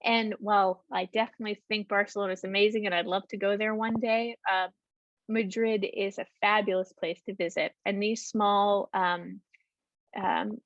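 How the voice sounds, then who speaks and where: A woman's voice: mid-range voice, level tone, normal speed, no room sound. A woman presenting a travel talk and answering audience questions over a video call, hesitant with many ums and restarts. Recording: dull lo-fi video call audio.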